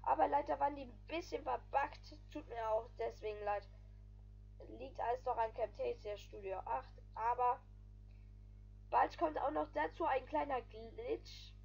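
A woman's voice: high voice, a little slow, creaky, darkish tone, husky, dull audio, soft background music. A woman giving casual, rambling voice-over commentary on her gaming video, stringing thoughts together with frequent filler phrases.

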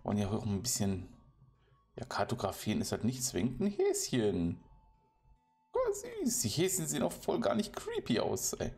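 A man's voice: fairly low pitch, wildly swooping pitch, moderate pace, vocal fry, a little mellow, faint music behind. A German man giving casual, playful commentary while playing a game, chatting to the animals and villagers he meets. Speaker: let's play commentator.

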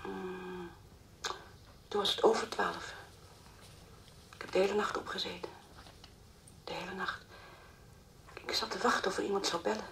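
Creaky-voiced distraught, stammering mother. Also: medium pitch, steady tone, a bit bright. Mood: despairing, distraught. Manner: halting, stammering, repeats words. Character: distraught anxious mother of a missing young girl. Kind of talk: Dutch radio play acting, naturalistic. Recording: old radio play recording, faint hiss.

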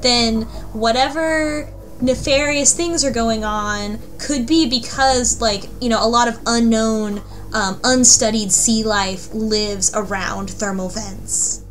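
A woman in a casual chat, recapping a story and explaining details in a halting way. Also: a little high, fairly even intonation, soft background music. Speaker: podcast panelist.

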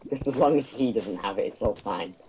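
A man's voice: fairly low pitch, fairly quick, warm tone, a little hiss or hum, poor audio. A man making wry, sarcastic remarks while playing a video game with friends. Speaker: game player. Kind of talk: casual gaming chat.

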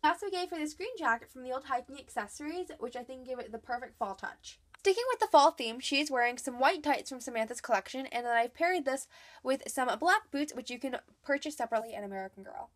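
A woman's voice: sits high, lively intonation, quick pace. A young woman with a cold, narrating a chatty, upbeat voice-over as she shows her dolls' outfits. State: has a cold. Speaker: doll collector vlogger. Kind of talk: scripted voice-over showing doll outfits.

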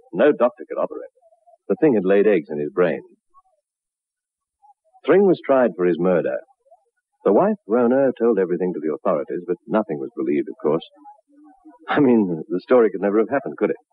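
A man's voice: low voice, sing-song, moderate pace, pauses often, slightly rough, no echo, heavily acted. An actor playing a shaken man who recounts a grisly horror tale over whiskey in a 1960s radio drama. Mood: resigned, uneasy. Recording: muffled vintage radio recording, narrow band.

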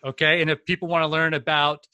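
A man's voice: on the high side, flat delivery, quick pace, sharp tone, clear, resonant tone, dry recording. A man in his forties hosting a podcast over a video call, enthusiastic and rambling with lots of fillers, at a desk mic at home. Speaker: podcast host. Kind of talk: casual podcast interview. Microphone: desk condenser mic, video call.

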